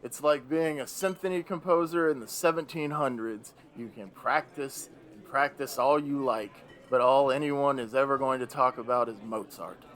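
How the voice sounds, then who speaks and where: A man performs the Devil as a weary old fellow facing retirement in a radio play. He sits at a middling pitch and grumbles theatrically, with bitter, sardonic wit. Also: normal speed, hardly any pauses, a bit bright, natural voice.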